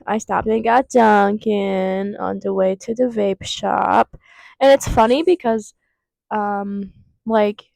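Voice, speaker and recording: A woman's voice: medium pitch, gravelly, a little mellow, no room sound. A young woman in her twenties with a cold and a stuffed-up nose, chatting casually to her viewers in a rambling way full of fillers and tangents. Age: 20s. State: congested with a cold. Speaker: vlogger. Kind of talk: casual chat to camera. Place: vape shop counter.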